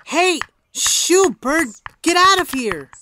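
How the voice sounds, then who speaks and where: A woman playing a brisk, wry young twin on a quest, punchy and matter-of-fact, in an audio drama. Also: very high-pitched, animated, background noise, full character voice. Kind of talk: naturalistic audio drama acting.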